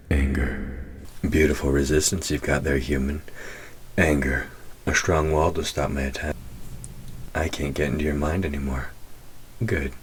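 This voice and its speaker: A man's voice: rumbling low, slight background noise. A man playing a taunting, predatory demon who trains a human hunter, in an audio roleplay spoken to the listener, teasing and menacing. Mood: approving. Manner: teasing, menacing, drops into whispers.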